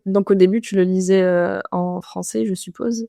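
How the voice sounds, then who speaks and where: Mellow woman podcast interviewer. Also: medium pitch, fairly even intonation, moderate pace, creaky, dry recording, clean studio sound. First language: Arabic. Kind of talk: casual conversational interviewing.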